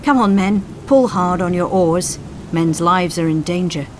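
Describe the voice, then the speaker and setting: A woman voicing a commanding lifeboat coxswain, shouting urgent orders to his crew in a dramatic story. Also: high-pitched, measured pace, slight background noise. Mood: rousing, urgent. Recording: storm sound effects behind voice.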